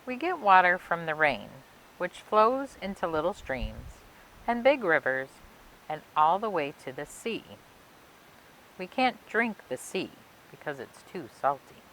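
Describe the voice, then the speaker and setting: A woman reading a children's picture book aloud for a library storytime, expressively and phrase by phrase with emphatic pauses. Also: lowish voice, sing-song, fairly bright tone, rough recording. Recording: clear background noise and hiss.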